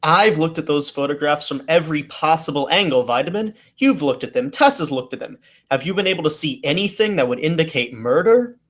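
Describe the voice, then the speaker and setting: A man's voice: a little high, lively intonation, fairly quick, fairly bright tone. A man playing an earnest, businesslike police detective in a 1940s radio play. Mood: intent, troubled. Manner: earnest, questioning, matter-of-fact.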